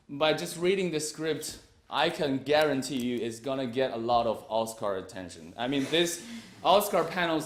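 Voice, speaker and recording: A young man giving a competitive debate speech, arguing his case with frequent hesitations and fillers. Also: a little high. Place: university debate room. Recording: echoey room.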